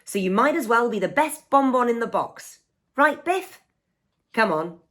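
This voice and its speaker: A woman voicing a plucky young girl who loves ballet and her dog, in an expressive storybook read-aloud. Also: on the high side, a little slow, pitched above the performer's own voice. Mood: cheerful. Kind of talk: picture-book read-aloud.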